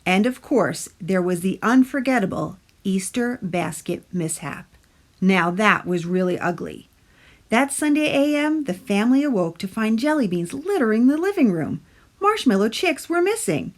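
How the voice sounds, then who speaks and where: A woman narrates a children's picture book in a medium-pitched voice. Her intonation is very animated, and she stretches key words and pauses for drama, as at a library storytime.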